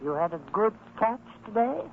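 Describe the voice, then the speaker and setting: A man's voice: high-pitched, expressive, moderate pace, phone-quality sound. A man playing a sly, teasing gambling companion with a theatrical, insinuating manner, in an old radio drama. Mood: oily, teasing. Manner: smooth-talking, theatrical, insinuating. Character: sly, wheedling male gambling crony, oily and teasing. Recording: thin, band-limited old radio recording, faint noise.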